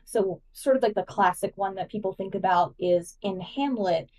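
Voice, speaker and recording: Mellow-voiced woman, a bit off-mic. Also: mid-range voice, normal speed, distant mic, slight background noise, rough recording. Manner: enthusiastic, chatty, many "like" fillers. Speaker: guest co-host. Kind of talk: casual podcast chat, explaining.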